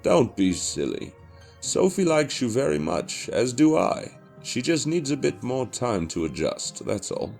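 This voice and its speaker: A man playing an older widowed father in an audio horror drama, tipsy, gravelly, dismissive yet affectionate. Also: mid-range voice, very animated, measured pace, darkish tone, soft background music. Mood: reassuring. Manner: dulled with liquor, dismissive, soothing.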